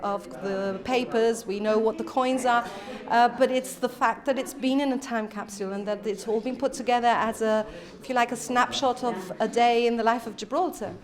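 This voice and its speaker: A woman's voice: on the high side, fairly even intonation, moderate pace. A woman from a museum speaking conversationally and enthusiastically in a news interview. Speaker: museum representative. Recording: busy chatter behind. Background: crowd chatter.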